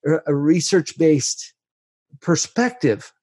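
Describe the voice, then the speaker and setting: A man's voice: fairly high pitch, expressive, slow pace, a little mellow, slightly rough, no room sound, clean studio sound. A male host asking long, framing questions in a conversational way. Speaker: interviewer, host. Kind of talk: interview questions.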